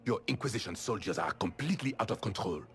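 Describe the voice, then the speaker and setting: An actor playing a haughty, indignant palace official in a video game, formal and scolding. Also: male voice, fairly low pitch, expressive, fast, faint music behind, close to an everyday voice.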